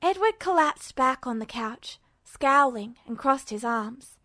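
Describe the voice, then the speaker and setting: A woman reading fan fiction aloud as a podfic, with even, attentive storytelling narration. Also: high-pitched, unhurried, dry recording, studio quality. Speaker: podfic reader.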